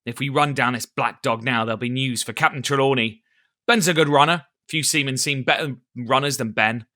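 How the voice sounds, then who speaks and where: A man voicing a blustering, cunning old one-legged pirate cook who shouts in salty sailor talk. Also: mid-range voice, fairly quick, no echo, clean studio sound, lightly acted. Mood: excited.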